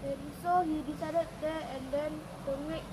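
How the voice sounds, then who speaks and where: High-voiced woman reading a folk tale. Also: steady tone, moderate pace. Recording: clearly noisy recording with ambient sound.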